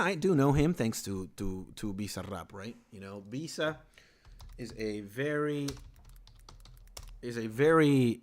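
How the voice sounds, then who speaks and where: A man from Venezuela, a native Spanish speaker, chatting casually on a live stream about music and reading comments from chat aloud. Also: medium pitch. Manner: lively, rambling, reads chat aloud.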